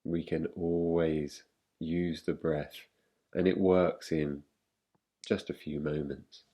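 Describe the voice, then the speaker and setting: A man guiding a meditation in a calm, gentle, unhurried manner, leaving long pauses between phrases. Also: rumbling low. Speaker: meditation guide. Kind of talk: guided meditation, yoga nidra.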